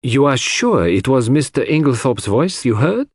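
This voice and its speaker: A man's voice: medium pitch, sing-song, moderate pace, darkish tone, breathy edge, dry recording, clean studio sound, pitched above the performer's own voice. A man voicing a courteous, businesslike detective who questions formally and persuasively in an audiobook mystery.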